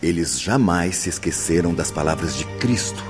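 An actor playing a solemn, devout narrator, reading scripted radio drama in a reverent, measured way. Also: male voice, low-pitched, lively intonation. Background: music.

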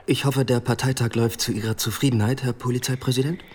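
A man playing an earnest, quietly determined police inspector in a radio play, formal and controlled. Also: mid-range voice, fairly even intonation, fast talker, a little hiss or hum, natural voice.

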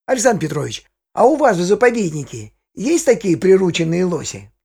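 An actress playing a woman visiting a nature reserve in an audio play, with a husky voice. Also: on the low side, very animated, a little fast, mellow, exaggerated voice. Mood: interested.

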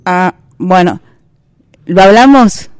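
A woman's voice: on the low side, takes it slowly, a little mellow, clean tone, no echo. A woman playing a hesitant, noncommittal character in an improvised radio theatre exercise. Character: hesitant, evasive woman asking for a loan.

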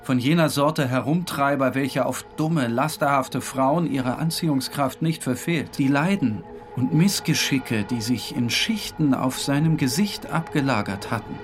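A man performs a morbidly obsessed dreamer telling his story in a literary audio drama. The voice sits at a middle pitch and moves with grave deliberation. Music plays clearly behind it. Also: unhurried, close to an everyday voice. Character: haunted, opium-dreaming male narrator, morbidly obsessed. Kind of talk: dramatic scripted literary narration. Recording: music playing under the voice.

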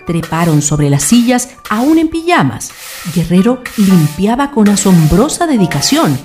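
A woman narrating a scripted radio drama in an expressive storytelling manner. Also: lowish voice.

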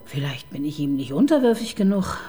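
A woman's voice: deep voice, very animated, brisk, mellow. A woman playing a shrewd, brooding police chief inspector in a radio crime drama, wry and thoughtful. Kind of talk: naturalistic German radio drama dialogue.

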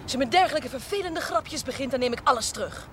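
A very shrill fiery young woman. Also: lively intonation, fast, fairly bright tone, slight background noise. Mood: angry, distraught. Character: fiery, offended young sweetheart. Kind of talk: 1970s Dutch television period drama acting.

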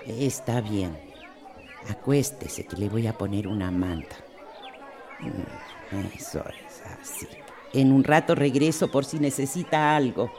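An actress playing a fussing, caring, grumbling companion in a radio soap opera, chiding and exclamatory with a Costa Rican accent. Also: female voice, very deep voice, animated, slow pace, darkish tone, a faint crowd, heavily acted.

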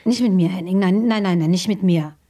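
A brash, foul-mouthed young woman played by a woman with a husky voice, blunt and defiant. She sounds set back from the mic in a radio drama. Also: on the low side, fairly quick, warm tone, natural voice. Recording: distant mic.

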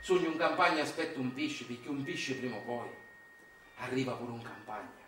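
A man's voice: mid-range voice, fairly even intonation, brisk, a bit bright, slight background noise, natural voice. An actor playing an anguished, bitter Sicilian father from the countryside in an emphatic, incantatory theatrical monologue with a Sicilian accent. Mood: mournful. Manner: incantatory, emphatic, repetitive phrasing. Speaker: stage actor performing a monologue. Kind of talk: theatrical monologue, chanted and declaimed. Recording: roomy distant stage recording with music.

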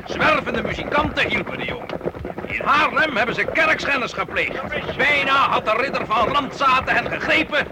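A man's voice: very high voice, lively intonation, normal speed, background noise. A man playing an indignant, obsequious medieval knight in an old radio play, speaking formally and emphatically. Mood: indignant, servile.

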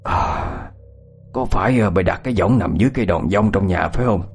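Old village healer played by a male narrator: gruff, folksy, kindly, raspy, over background music. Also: on the low side, racing, darkish tone. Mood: grave, chiding. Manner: folksy, commanding, scolding elder.

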